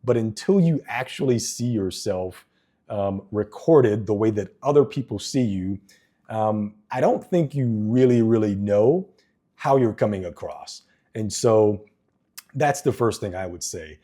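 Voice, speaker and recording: A man with a deep voice talking earnestly to camera in a casual vlog, with plenty of ums and restarts, recorded indoors on a wireless microphone. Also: animated, measured pace, warm tone.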